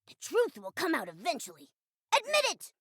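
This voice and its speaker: Casting: little bossy sidekick critter, high voice, lively intonation, assertive and earnest, game cutscene. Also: female voice, normal speed, no room sound, studio quality, heavily acted. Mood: accusing, confident. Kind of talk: video game cutscene acting. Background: background music.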